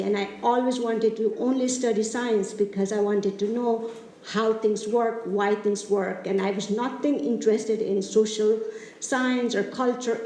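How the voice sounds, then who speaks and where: A woman's voice: a little high, mellow, a little hiss or hum. Nepali-accented woman speaking in an echoey room. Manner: friendly, halting, with many fillers and restarts. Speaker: community TV producer giving a club talk. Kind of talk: casual presentation.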